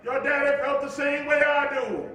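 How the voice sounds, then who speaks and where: A man's voice: flat delivery, moderate pace, pushed and bright. An actor playing a stern, hot-tempered preacher father in a staged play reading, with emphatic, folksy delivery. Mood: firm, defensive. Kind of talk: staged play reading before a live audience. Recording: distant echoey hall recording, faint rumbling noise.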